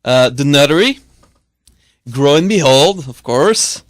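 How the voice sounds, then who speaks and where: A man in his thirties, a studio guest, chatting casually and running through a list with many pauses and uhs. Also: on the high side, animated, dry recording. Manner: lists names with many uhs. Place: small cluttered radio studio. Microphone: studio mic.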